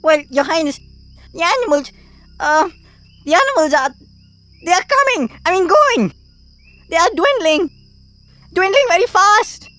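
A woman's voice: very high voice, big pitch swings, a little slow, pauses often, fairly bright tone, dry recording, exaggerated voice. A woman voicing a small, nervous but clever male hare in a cartoon, stammering and deferential. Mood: nervous, respectful. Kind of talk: cartoon voice acting.

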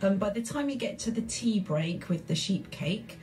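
A woman's voice: medium pitch, lively intonation, normal speed, darkish tone, soft background music. A woman, a guest on a radio show, explaining her chorus enthusiastically and fluently. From England. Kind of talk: radio interview. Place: community radio studio.

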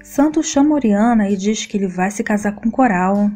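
Mellow woman reading a soap recap. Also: medium pitch, expressive, moderate pace, faint music behind. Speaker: recap narrator. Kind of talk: scripted soap opera recap narration.

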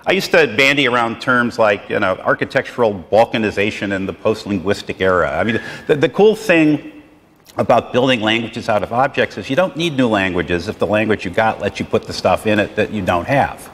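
A middle-aged man with a fairly low voice gives a loose, rambling conference lecture, leaning on "you know" as he goes. He is recorded in a lecture hall, and his voice trails off into a long reverb. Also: lively intonation, a little fast, a bit bright. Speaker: conference speaker. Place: lecture hall with projected slides.

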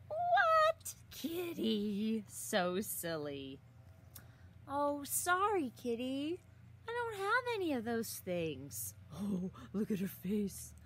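A woman in her thirties reading a picture book aloud to young children outdoors, expressively, with exaggerated gasps and drawn-out words. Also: on the high side, a bit bright. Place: outdoors in a leafy garden. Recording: outdoor recording with some ambient noise.

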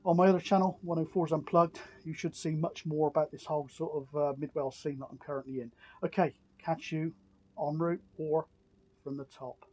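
Higher-voiced man, casual outdoor vlogger. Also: steady tone, fairly quick, a little mellow, slightly rough, dull audio. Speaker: CB radio operator and vlogger. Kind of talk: casual vlog talk to camera and radio chat. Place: outdoors on open hillside in countryside.

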